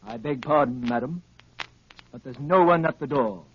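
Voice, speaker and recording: An actor playing a polite, formal household butler in 1930s radio style. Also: male voice, medium pitch, moderate pace, lightly acted. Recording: muffled, hissy 1930s radio broadcast.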